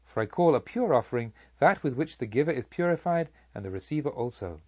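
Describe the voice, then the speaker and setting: Man voicing a serene, solemn sage, slightly husky. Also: medium pitch, lively intonation, darkish tone, dry recording, muffled recording. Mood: gracious, solemn. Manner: measured, rhetorical, solemn teaching. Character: serene Buddha, male sage teacher, kindly and solemn. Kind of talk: audiobook character speech.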